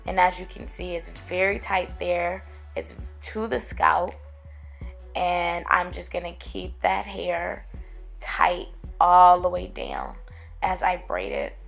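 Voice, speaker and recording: A young woman, raspy from getting over a cold, chatting casually to her viewers as she explains braiding her own hair step by step, recorded close to a camera at home. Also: on the low side, very animated, slow pace, a bit bright, faint music behind. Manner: chatty, addresses viewers as guys and girl. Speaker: hair tutorial vlogger. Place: small room at home, indoors.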